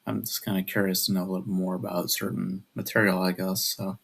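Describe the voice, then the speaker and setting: Young man, low pitch, gravelly fry, hushed delivery, hesitant, rambling, casual vlog chat. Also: level tone, fairly quick. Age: 20s. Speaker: video creator. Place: at home at night.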